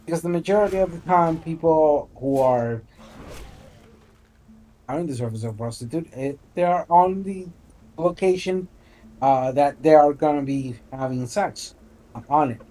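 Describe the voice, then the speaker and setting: A man in his forties with a fairly high, very mellow voice, arguing in an informal online debate. He is discursive, mixing formal terms with curse words. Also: moderate pace, low-quality recording. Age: 40s. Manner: discursive, formal wording mixed with swearing. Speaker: debate participant.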